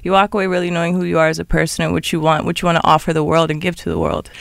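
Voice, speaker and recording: A woman's voice: lowish voice, level tone, racing, gravelly, dry recording, studio quality. A young woman from the Bahamas chatting casually as an interview guest. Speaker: interview guest, former pageant winner. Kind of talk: casual chat.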